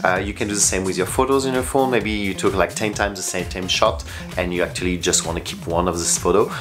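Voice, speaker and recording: A man in his forties with a low voice talks casually to camera in non-native English. He is chatty and leans on key phrases, like a travel vlogger sharing tips. Music plays clearly behind him. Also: quick pace. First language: not English. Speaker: travel vlogger and flight attendant. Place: bedroom, indoors. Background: background music.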